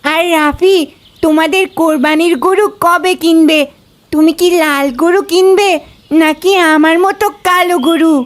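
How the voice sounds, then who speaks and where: A woman's voice: very high-pitched, steady tone, a little slow. A woman voicing a chatty, boastful young schoolboy in a cartoon. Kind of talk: cartoon voice acting.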